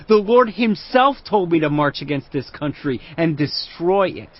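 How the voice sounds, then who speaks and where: An actor playing an arrogant Assyrian field commander who taunts a besieged city with loud, mocking declamation. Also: male voice, fairly high pitch, animated, normal speed. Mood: taunting. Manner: boastful, mocking, declaiming loudly. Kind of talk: dramatized audio Bible acting. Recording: muffled lo-fi audio under music. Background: cinematic music.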